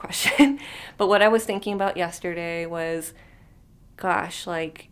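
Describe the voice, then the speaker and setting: A woman in her thirties chatting unscripted to camera as a vlogger, rambling and thinking aloud with lots of fillers, sometimes trailing off into a near whisper. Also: fairly low pitch. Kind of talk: unscripted casual chat to camera.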